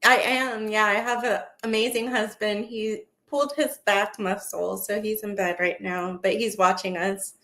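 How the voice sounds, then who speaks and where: A young woman from Texas talks as an interview guest, her Texan accent light and her voice of middling pitch. She is bubbly and peppers her sentences with "like.". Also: little pitch movement, moderate pace, few pauses, bright, forceful tone. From San Antonio, Texas, USA. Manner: bubbly, heavy use of "like". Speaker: podcast guest, marketing business owner. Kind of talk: casual interview chat.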